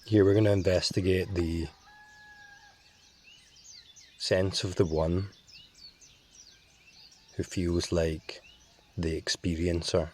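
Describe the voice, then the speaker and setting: A man leads a guided meditation in a calm, measured voice and leaves long pauses between phrases. His voice is deep and steady and moves very little, and he speaks very slowly. Faint birdsong sits quietly behind him. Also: slight background noise.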